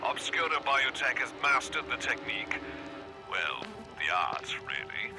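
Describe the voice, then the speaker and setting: A pompous, swooping ultra-rich tycoon. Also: male voice, medium pitch, huge pitch swings, measured pace, creaky, heavily acted. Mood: smug, lecturing. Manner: pompous, condescending, theatrical. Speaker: interviewee on a radio call-in. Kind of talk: satirical radio interview acting. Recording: music behind the voice.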